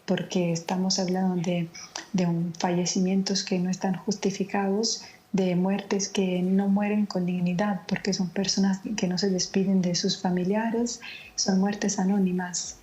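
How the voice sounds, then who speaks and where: Lady interview guest, kinda tired n subdued, pretty flat mellow voice, muffled call audio. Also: medium pitch. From Naples, Italy. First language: Italian. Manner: subdued, measured. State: tired late at night. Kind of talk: live interview answers. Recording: dull lo-fi remote connection.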